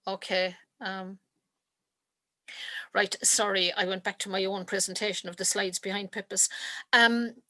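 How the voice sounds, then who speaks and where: A woman giving an online literature lecture over a video call, speaking thoughtfully with frequent pauses, ums and restarts. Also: medium pitch, little pitch movement, bright, forceful tone, dry recording. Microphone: computer mic on a video call.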